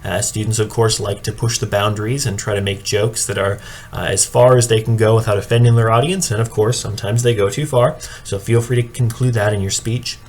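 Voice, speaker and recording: A man giving an instructional presentation like a coach, explaining in a measured, matter-of-fact way. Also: on the low side, steady tone. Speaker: speech and debate coach training judges. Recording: clearly audible background noise.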